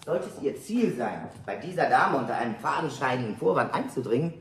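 A man's voice: mid-range voice, animated, fairly quick, fairly bright tone, a little hiss or hum. An actor playing a nosy, suspicious, officious male neighbour in a 1970s German radio play, speaking stiffly and formally.

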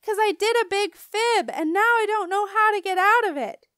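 A woman voicing a brash, excitable, stubborn young schoolgirl in a children's audiobook, loud and emphatic. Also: extremely high pitch, moderate pace, dry recording, clean studio sound, voice pushed up. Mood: frantic.

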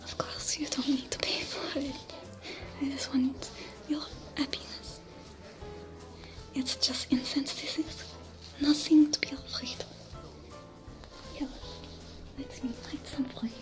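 A woman's voice: high voice, level tone, music in the background, low-quality recording. A woman whispering as a French-accented alien smuggler. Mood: soothing. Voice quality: whispery, soft-spoken. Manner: slow, soothing, caring. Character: female alien smuggler, gentle caretaker. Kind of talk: whispered ASMR roleplay.

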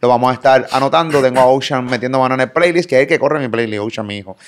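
A Puerto Rican man in his thirties hosting a casual podcast interview in a studio, energetic and playful, talking with his hands. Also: mid-range voice, racing. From Puerto Rico. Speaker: podcast host. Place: pink studio set. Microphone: boom studio mic.

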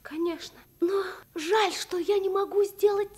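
A woman's voice: very high voice, fairly even intonation, measured pace, darkish tone. An actress playing a curious, earnest nine-year-old girl in a radio play, with a childlike, naive manner. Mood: wistful, earnest. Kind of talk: Soviet radio play acting.

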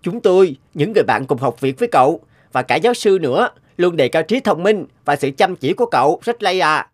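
A frank, concerned young cartoon friend. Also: male voice, on the high side, animated, a little fast. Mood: serious. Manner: earnest, frank, articulate. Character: concerned, principled young male academy friend. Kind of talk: cartoon dubbing.

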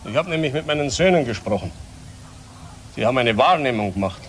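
An actor playing a bitter, defiant middle-aged father in a radio crime drama, speaking in emphatic broken phrases with pauses. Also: medium pitch, animated, a bit bright, slight background noise, natural voice. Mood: insistent. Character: bitter, defiant father of grown sons under suspicion.

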